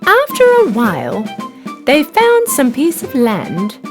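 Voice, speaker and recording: Female narrator for bedtime fairy tales: expressive, highly melodic, music underneath. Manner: expressive, deliberate storytelling. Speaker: storyteller, narrator. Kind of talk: scripted children's story narration.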